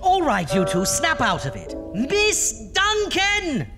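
Campy, swooping, imperious horror hostess. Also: female voice, high voice, huge pitch swings, over music, full character voice. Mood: stern, impatient. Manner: theatrical, arch, drawn-out words. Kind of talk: horror radio show hosting.

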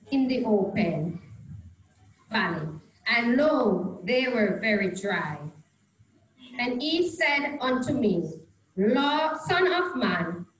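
Woman reading scripture slowly in a church. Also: on the high side, echoey room, poor audio. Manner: measured, deliberate reading. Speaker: scripture reader. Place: small church hall, indoors. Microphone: handheld microphone.

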